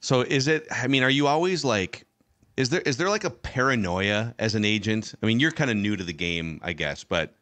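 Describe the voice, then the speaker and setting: Man hosting with very lively pitch. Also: normal speed, no room sound. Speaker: podcast host reading listener questions. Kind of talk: casual hosting, wry asides. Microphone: close podcast mic with headset.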